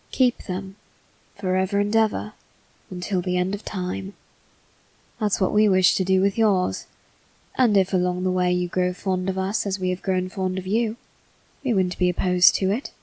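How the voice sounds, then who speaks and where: A woman voicing a male demon servant in a scripted ASMR roleplay, speaking formally and courteously with soft, flirtatious teasing. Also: mid-range voice, no echo, rough recording. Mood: coaxing, devoted.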